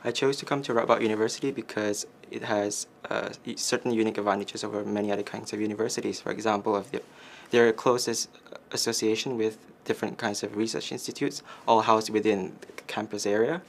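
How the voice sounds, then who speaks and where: A young man from Malaysia, a master's student, talking in a measured, earnest way in an interview. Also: on the low side, steady tone, vocal fry, a little hiss or hum. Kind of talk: interview testimonial.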